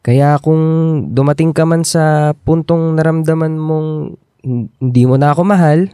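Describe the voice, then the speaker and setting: A man's voice: fairly high pitch, steady tone, a little slow, mellow, clean tone, no echo, studio quality, lightly acted. A man acting an earnest, insecure young boyfriend in a Filipino radio drama, pressing for commitment. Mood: subdued, pleading.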